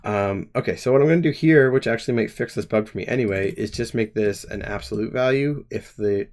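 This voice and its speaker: A man in his twenties talks through his code on a live stream, working out the logic aloud. His voice sits a little low. He pauses and restarts often as he thinks. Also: a little mellow. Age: 20s. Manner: hesitant, many pauses and restarts. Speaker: game developer streamer.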